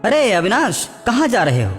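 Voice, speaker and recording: Cartoon horror bully, young male played by a man: high, animated, falsely friendly and pushy. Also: normal speed. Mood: coaxing. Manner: coaxing, pushy. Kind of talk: Hindi cartoon horror story dialogue. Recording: long hall reverb, music behind.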